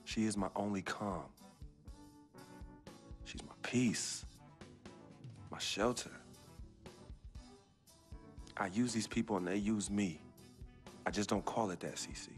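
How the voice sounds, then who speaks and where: An earnest young ballplayer. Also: male voice, lowish voice, steady tone, soft background music. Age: young adult. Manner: earnest, heartfelt, measured. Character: young male basketball star, loyal and earnest. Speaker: game protagonist. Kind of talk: video game cutscene acting.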